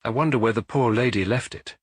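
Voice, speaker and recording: A man's voice: medium pitch, a little fast, dry recording, lightly acted. A male narrator playing a humble, distressed priest detective in an audiobook story, speaking in a hesitant, subdued way.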